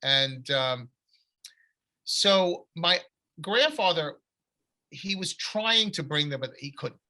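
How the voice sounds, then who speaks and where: Middle-aged New man, author, bright voice, rambling storyteller. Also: fairly high pitch, low-quality recording. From United States. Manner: long rambling anecdotes with restarts. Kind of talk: conversational storytelling.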